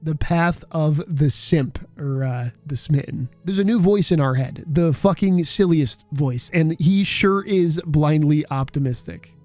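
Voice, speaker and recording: Mellow-voiced man narrating a video essay. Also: fairly high pitch, a little slow, few pauses, faint music behind. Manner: wry, casually profane.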